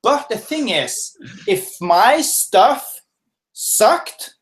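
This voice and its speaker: A man's voice: very high-pitched, very animated, extremely slow, a bit bright. A man from Norway, a guest expert giving casual, filler-heavy answers in a webinar interview from his home office. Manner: casual, chatty, lots of fillers and restarts.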